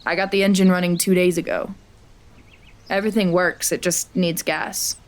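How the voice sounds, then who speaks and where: A woman playing a practical steady mechanic. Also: lowish voice, normal speed, lightly acted. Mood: matter-of-fact. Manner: matter-of-fact, plain. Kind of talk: audio drama acting.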